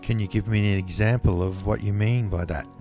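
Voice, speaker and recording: A man interviewing a guest, asking calm questions and summing up her points. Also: lowish voice, a little fast, soft background music. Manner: calm, summarising prompts.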